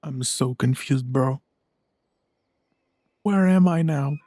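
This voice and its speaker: A woman streamer casually reacts to a game in a low, slow, slangy way. Her low-key commentary is recorded close and dry over game music. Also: warm tone, dry recording.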